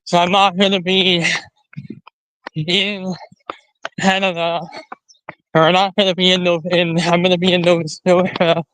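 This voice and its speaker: Slow, halting man chatting on a livestream. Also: high voice, flat delivery, a bit bright, clean tone, no echo, low-quality recording. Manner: rambling, halting, repeats and restarts phrases. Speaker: live stream host. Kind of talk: casual livestream chat to viewers.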